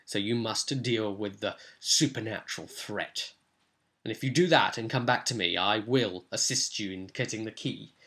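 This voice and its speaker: Man playing an earnest bargaining wizard, bright-voiced. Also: lowish voice, moderate pace, voice pushed down. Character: besieged male wizard bargaining for help.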